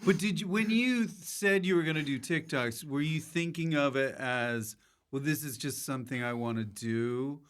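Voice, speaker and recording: Male podcast host, casual and deadpan. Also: a little high, normal speed, clear, resonant tone. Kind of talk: casual comedic interview.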